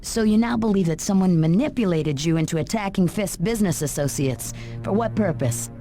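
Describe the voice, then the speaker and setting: An actress playing a skeptical, sharp-tongued interrogator in a game cutscene, with pointed, sardonic questions. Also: female voice, fairly low pitch, expressive, a little fast, faint music behind, natural voice. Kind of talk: video game cutscene acting.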